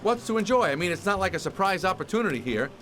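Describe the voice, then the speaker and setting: An actor playing a wry, restless young bee hero in a cartoon game, sardonic and questioning. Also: male voice, high voice, big pitch swings, quick pace, a bit bright, soft background music. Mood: wry, frustrated. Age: young adult.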